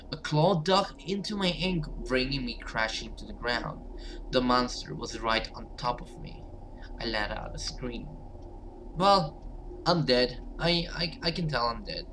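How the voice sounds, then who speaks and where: A man's voice: medium pitch, a little slow, fairly bright tone, slight background noise, poor audio. A man doing let's-play commentary and reading game text aloud in an informal, hesitant way. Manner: informal, hesitant, alternates reading narration with reactions. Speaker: gaming video host.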